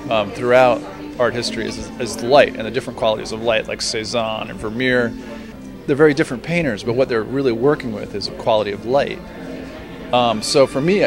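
A man around forty, a painter, talking in a casual, halting and thoughtful interview way about his work. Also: mid-range voice. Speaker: painter and art teacher. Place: busy restaurant during an art reception. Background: music.

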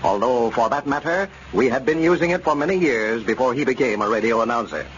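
A man's voice: mid-range voice, fairly quick. A male announcer reading aloud in the scripted style of a 1930s radio sponsor message. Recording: muffled, noisy old radio broadcast.

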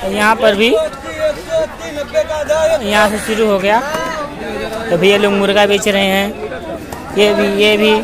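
A young man walking through a village market with his phone, chatting to viewers and haggling with sellers. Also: high voice. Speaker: village vlogger. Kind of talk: walking market vlog narration. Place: busy outdoor village market. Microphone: handheld phone camera. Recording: crowd noise of outdoor market.

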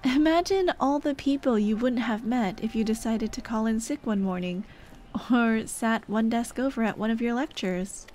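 A young woman voicing a dead girlfriend's caring, gently nagging ghost in an ASMR roleplay drama, tender and coaxing. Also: fairly high pitch, moderate pace, slight background noise, natural voice. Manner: tender, coaxing, soft-hearted scolding. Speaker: roleplay voice actress.

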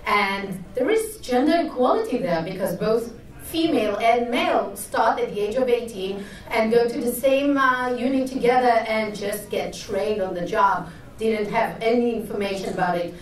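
A woman with an Israeli accent speaks candidly on a conference panel, pausing often with fillers. She holds a handheld mic but is picked up from a distance. The room is echoey. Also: medium pitch. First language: Hebrew. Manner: candid, chatty, many hesitations. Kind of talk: panel talk. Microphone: handheld mic, heard from afar.

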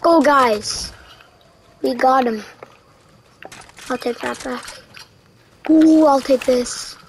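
A young woman casually commenting on her own gameplay, relaxed and a bit tired. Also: very slow, a little hiss or hum. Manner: relaxed, low-key narration of play, says she is tired. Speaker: gaming video creator.

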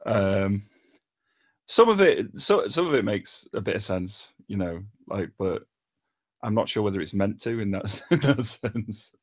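A man with a fairly low voice gives an informal critique. He talks haltingly, feeling for his words with plenty of ums and pauses. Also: expressive. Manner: hesitant, halting, frequent long pauses and ums. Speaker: table read participant giving script feedback. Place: remote online voice call.

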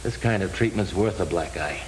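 An actor playing a polite, guarded young American chauffeur in a 1930s film, with a hissy soundtrack. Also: male voice, sits low, normal speed, close to an everyday voice, poor audio. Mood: earnest, wry. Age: young adult.

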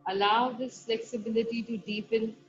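A woman guiding a meditation, giving soft, slow instructions broken by long pauses. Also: on the high side, flat delivery. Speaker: meditation guide. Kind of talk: guided meditation. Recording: dull lo-fi recording with faint music. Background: soft background music.

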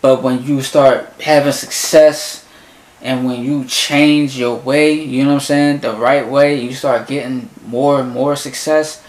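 Young man, casual reaction commentary, animated slang, echoey bedroom, close webcam mic. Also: medium pitch, a little slow. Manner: animated, slangy, talks back to the song. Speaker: music reaction youtuber. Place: small bedroom in front of a closet door. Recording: echoey room.

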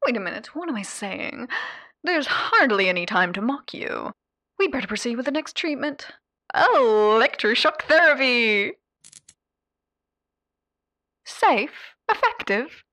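A sadistic lady demon in an ASMR roleplay, posing as a therapist. She is mocking and showy, with a highish voice that swoops dramatically. Her words are drawn out slowly and recorded dry and close. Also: very animated, bright, forceful tone, no echo, exaggerated voice. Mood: mock-sweet, scornful, then manic glee. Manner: mocking, showy, drawn-out delivery with whispered asides and pet names. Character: sadistic, theatrical female radio demon playing hotel therapist. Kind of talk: ASMR character roleplay addressed to the listener.

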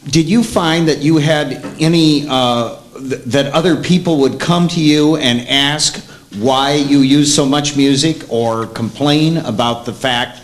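A man putting a hesitant question as an audience member, feeling his way through the wording. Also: mid-range voice. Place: meeting room.

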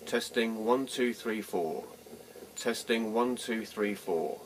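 Guy with a lowish voice doing a careful scripted demo read, old reel-to-reel tape sound w some noise. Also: slow. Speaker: presenter of a vintage tape recorder demo. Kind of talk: scripted test narration. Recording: noisy vintage reel-to-reel test recording.

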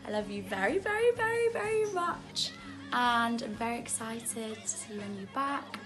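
A woman's voice: a little high, lively intonation, moderate pace, over music. A young woman at home recording an effusive, emphatic birthday message.

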